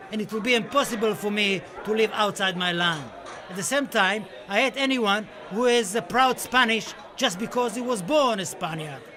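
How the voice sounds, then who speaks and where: High-voiced, impassioned Spanish poet, acted by a man. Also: bright, forceful tone. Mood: impassioned, defiant. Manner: impassioned, declamatory, dramatic. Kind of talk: theatrical radio-play monologue and poetry recital. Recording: music under the voice. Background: music.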